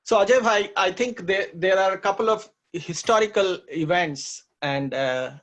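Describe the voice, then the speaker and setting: Measured, explanatory man with slightly raised pitch. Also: a bit bright. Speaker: co-host. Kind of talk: conversational talk show discussion.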